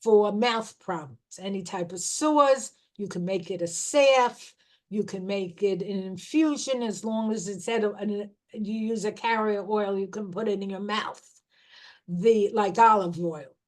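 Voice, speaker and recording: A middle-aged woman gives an informal talk on herbal remedies at a slow, unhurried pace. Her pitch sits in the ordinary middle range. She often breaks off and restarts her sentences as she goes. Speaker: herbal educator.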